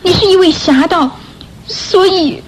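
A woman's voice: very high-pitched, a little slow, a little hiss or hum, poor audio. A woman acting in a radio drama as a timid, repentant young orphan thief, speaking earnestly and hesitantly, as if pleading. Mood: earnest, hopeful.

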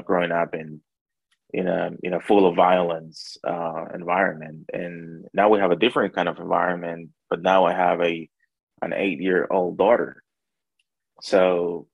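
A low-voiced man with a Salvadoran accent and a husky, creaky edge. He tells his story as an interview guest in a conversational, somewhat halting way, repeating words as he goes. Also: dry recording, muffled recording. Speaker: interview guest, restaurant owner.

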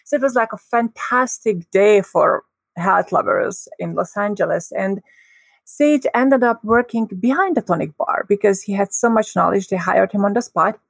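Woman guest, vivid storyteller, gravelly texture, dry close sound. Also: mid-range voice, moderate pace, no echo. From Hungary. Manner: expressive, lively storyteller. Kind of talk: casual storytelling.

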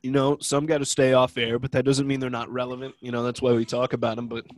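A man's voice: medium pitch, level tone, fast, no echo. A young man from Ohio with a cold that makes him sound nasal and stuffy, co-hosting a podcast in loose, rambling, joking chat. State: congested from a cold. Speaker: podcast co-host. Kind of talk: casual chat.

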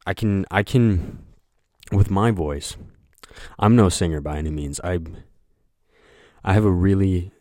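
A young man hosting a solo podcast, talking in a flat, monotone voice that rambles, with lots of hesitation and filler words. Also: deep voice, unhurried, long pauses, dry recording, clean studio sound. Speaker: podcast host. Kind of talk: casual solo podcast chat.

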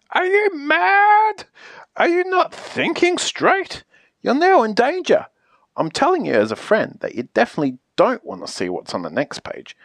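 A woman voicing an exasperated, pleading character in a children's picture book, theatrical and emphatic with drawn-out pleas. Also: low-pitched, slightly rough, no room sound, voice pushed down, exaggerated voice. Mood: feigned calm.

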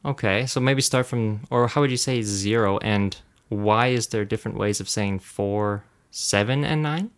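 A man hosts a language lesson in an easygoing, conversational way, his voice smooth and resonant. He sounds friendly and encouraging as he explains. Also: fairly low pitch, normal speed. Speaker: language course host. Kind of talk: casual teaching chat.

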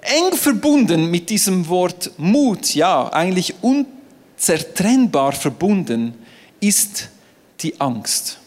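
A man whose first language is French, preaching a sermon on a stage in a playful, animated way. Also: high voice, slow. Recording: reverberant hall.

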